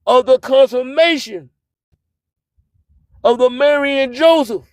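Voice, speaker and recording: A man's voice: very high voice, slow, no room sound, studio quality. A man with a Southern US accent hosting a radio show in a boastful, emphatic, preacher-like rhyming monologue. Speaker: internet radio host. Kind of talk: hype radio monologue with rhythmic, rhyming spoken-word delivery.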